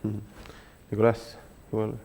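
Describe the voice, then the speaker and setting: A man with a slightly low voice moderates a conference panel. He keeps things moving with short prompts and quick acknowledgments. He passes the floor from one speaker to the next. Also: completely flat delivery, creaky, mellow, slight background noise. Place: conference venue.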